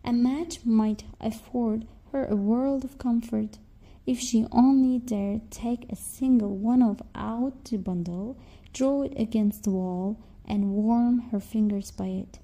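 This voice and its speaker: A woman narrates a fairy tale for children in a fairly high, mellow voice. Her reading is slow and scripted, as a storyteller's. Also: poor audio.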